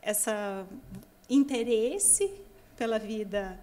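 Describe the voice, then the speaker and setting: Soft-spoken, reflective woman guest. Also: a little high, extremely slow, a crowd in the background. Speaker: missionary guest. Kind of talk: casual interview.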